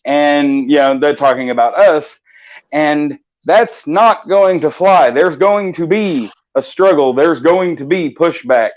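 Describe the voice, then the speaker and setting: A man's voice: a little high, slow. A man hosting a casual morning live-stream chat, talking in long, passionate runs with frequent restarts. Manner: rambling, passionate, many restarts. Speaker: host. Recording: slightly muffled audio.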